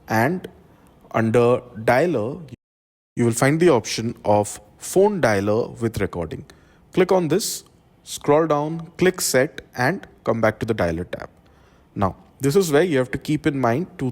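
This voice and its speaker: A man presenting an instructional software tutorial, explaining things step by step. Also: medium pitch.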